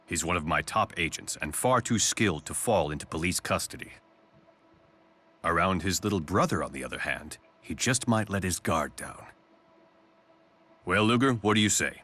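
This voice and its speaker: A calculating video game executive, very low in pitch with a forceful, bright edge. He speaks in a polished, condescending way, suave and controlled. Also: expressive, moderate pace, full character voice. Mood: coolly persuasive. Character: suave, calculating corporate chief, adult man. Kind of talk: English video game voice acting.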